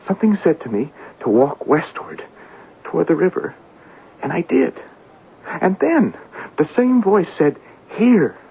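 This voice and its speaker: High-pitched, distraught lovelorn man, old radio. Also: very animated, a little slow, long pauses, husky, heavily acted. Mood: fervent, desperate. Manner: fervent, repetitive, melodramatic. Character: distraught, obsessed man searching for his lost sweetheart. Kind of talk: 1970s radio drama acting. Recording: muffled narrow-band old radio broadcast.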